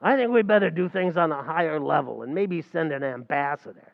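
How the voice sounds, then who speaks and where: An actor playing a scheming, wisecracking tavern manager in a 1940s-style radio comedy. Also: male voice, on the high side, fairly quick, vocal fry, highs cut off. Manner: wisecracking, mangles grammar. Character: fast-talking scheming male tavern manager.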